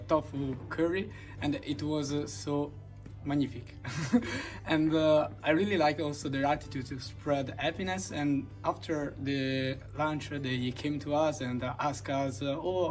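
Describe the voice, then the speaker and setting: A man giving a casual video testimonial, pausing often to search for words. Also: fairly high pitch, fairly even intonation, faint music behind. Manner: searching for words with many fillers.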